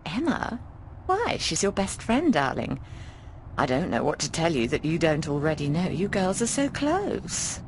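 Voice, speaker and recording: A breezy, bawdy landlady from an adventure game. Her voice sits a little low and swings freely up and down, teasing and affectionate with everyone. Some background noise sits faintly under the recording. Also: a little slow, full character voice. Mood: chatty, wry. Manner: expressive, teasing, calls people darling. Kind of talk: conversational video game voice acting.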